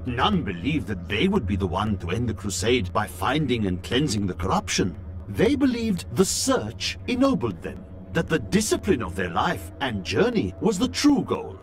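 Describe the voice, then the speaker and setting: Fantasy game scholar: grave, intrigued journal narration, deliberate and theatrical, very animated pitch, over a music bed. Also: male voice. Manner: theatrical, deliberate, storytelling delivery. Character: learned male scholar-chronicler reading his journal, grave and intrigued.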